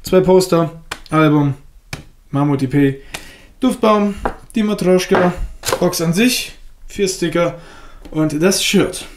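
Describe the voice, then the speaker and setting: Chatty young German man, fairly high voice. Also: slow pace, long pauses, slight background noise. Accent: German with a slight foreign lilt. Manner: chatty, frank, laughs at himself. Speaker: unboxing reviewer. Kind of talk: casual unboxing commentary.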